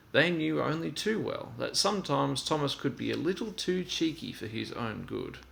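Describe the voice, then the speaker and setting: A man reading a children's story aloud in an expressive storytelling style. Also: mid-range voice, vocal fry, a little hiss or hum.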